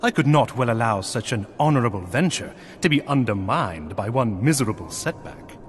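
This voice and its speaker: Casting: male actor for gracious lord of a knightly outpost, fantasy game; formal old-world diction, highly varied intonation. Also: expressive, moderate pace, a little hiss or hum, close to an everyday voice. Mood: thoughtful, resolute. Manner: formal, archaic, courtly phrasing. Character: earnest, gracious nobleman commanding a snowbound knightly outpost. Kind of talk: scripted fantasy game dialogue.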